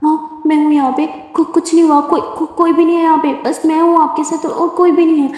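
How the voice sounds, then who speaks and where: A woman's voice: sits high, level tone, fast, natural voice. A woman voicing a young woman who pleads with and soothes a frightened friend in an echoey room. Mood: pleading. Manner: soft, pleading, rising to urgent protest. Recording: echoey room with long reverb.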